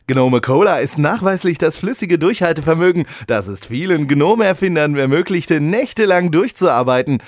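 A man playing a slick pitchman in a parody radio commercial for an energy drink. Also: lively intonation, normal speed, hardly any pauses, lightly acted. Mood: upbeat, selling.

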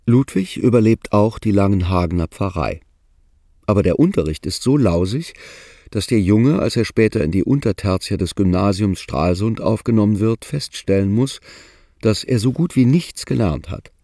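Male narrator, low mellow voice, dry close sound, matter-of-fact. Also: moderate pace, no room sound. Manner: steady, matter-of-fact storytelling. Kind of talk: documentary narration.

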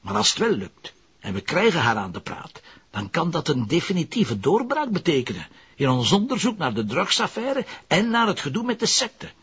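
Confident Flemish police inspector, lo-fi. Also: male voice, fairly high pitch, huge pitch swings, moderate pace, fairly bright tone, heavily acted. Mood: confident, persuasive. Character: confident, wry, persuasive male police inspector. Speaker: actor. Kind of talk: naturalistic crime drama dialogue. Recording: dull lo-fi audio with clear noise.

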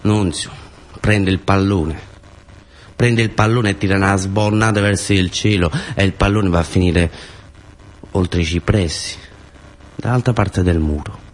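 A man's voice: sits low, steady tone, unhurried, long pauses. A man with a southern Italian accent telling a first-person theatre story in short phrases with incantatory repetition. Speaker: actor-storyteller.

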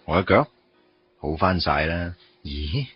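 Wry, lively low-voiced Hong Kong man. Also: slow, breathy edge, lo-fi sound, faint music behind, heavily acted. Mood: casual. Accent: Hong Kong Cantonese. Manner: casual, grumbling, teasing. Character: wry, teasing male office worker meeting his first love. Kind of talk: Cantonese radio drama acting.

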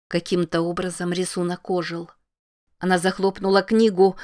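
A woman narrating a novel in a calm, measured reading voice. Her voice sits fairly low and stays steady, with very little rise and fall in its melody. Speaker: audiobook narrator.

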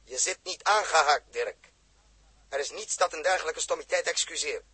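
A stern spaceship captain, with a high, very husky and forceful voice. He gives clipped, theatrical orders in the manner of old 1960s radio drama, on a vintage recording. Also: normal speed, full character voice. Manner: commanding, clipped, theatrical delivery.

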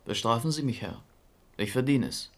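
A male actor plays a captured courier in a radio drama. His pitch is average. He answers briefly and submissively, like a servant before his lord. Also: moderate pace, lightly acted. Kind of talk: German radio drama acting.

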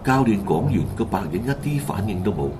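A man's voice: on the low side, animated, fairly quick, background noise. A man with a Hong Kong Cantonese accent narrating a radio drama in a dramatic, colloquial storytelling style. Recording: loud background music under the voice.

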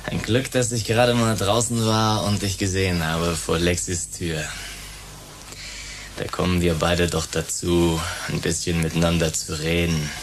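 An actor in a German science-fiction radio drama playing a vain, scheming, self-pitying rock star who drops English showbiz slang. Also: male voice, sits low, flat delivery, measured pace, noisy background. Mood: smug, casual.

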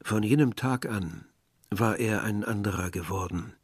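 A man's voice: on the low side, measured pace, breathy edge, no room sound, clean studio sound. An actor playing a reflective village man recounting wartime memories in literary radio drama narration. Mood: sorrowful, reflective. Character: reflective male villager recalling war and his brother. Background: music.

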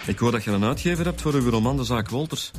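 An actor with a Flemish accent playing an easygoing, chatty police detective in a radio drama, explaining things colloquially with frequent tag questions. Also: male voice, mid-range voice, animated, fast talker, soft background music, natural voice. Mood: teasing.

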